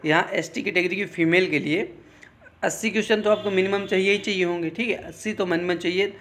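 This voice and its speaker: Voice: mid-range voice, steady tone, fast, fairly bright tone, slight background noise. An exam-prep coach, giving an informal explainer in an instructional way, repeating points and often adding short check-in tags.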